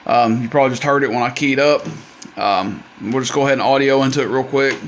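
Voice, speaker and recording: A man with a mid-pitched voice talks through a piece of equipment in a casual demonstration. His wording is plain, folksy and a little hesitant. The amateur recording carries clear background noise. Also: fast. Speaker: radio seller demonstrating equipment.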